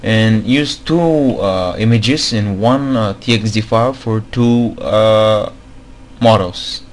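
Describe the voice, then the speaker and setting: A man with a non-native accent walks through a game-modding tutorial at a slow, unhurried pace. His voice sits a little low, and he stops often for fillers and restarts. A faint background hum runs under his desktop mic. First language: not English. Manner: hesitant, many fillers, repeats himself. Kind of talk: screen-recorded tutorial.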